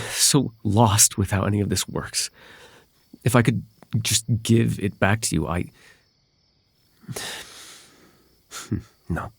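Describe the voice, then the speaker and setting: A man playing a possessive, lovelorn fae royal heir in an intimate ASMR roleplay, with halting, tender delivery and formal, old-fashioned wording. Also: on the low side, gravelly, breathy edge, no echo, studio quality, heavily acted. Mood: distressed, pleading.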